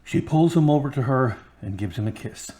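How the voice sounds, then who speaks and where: A man's voice: medium pitch, lively intonation, a little fast, dark tone, rough recording. A man narrating an audio drama in a scripted style, reading stage action and credits. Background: guitar music.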